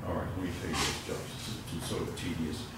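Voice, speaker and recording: A man's voice: a bass voice, steady tone, fast, heavy background noise. An older man teaching a university physics class, telling rambling anecdotes and working equations at the board. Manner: rambling anecdotal storytelling, self-interrupting. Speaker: physics professor. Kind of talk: university lecture. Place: large lecture room with chalkboards. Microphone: far room mic. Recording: echoey lecture hall from far off.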